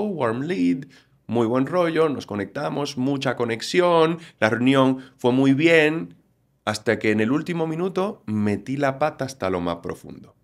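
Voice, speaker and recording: Animated Dominican man with a Galician accent. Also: medium pitch. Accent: Galician-tinged Spanish. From Dominican Republic. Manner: animated, explanatory, acts out quotes. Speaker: communication trainer, guest. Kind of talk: podcast interview.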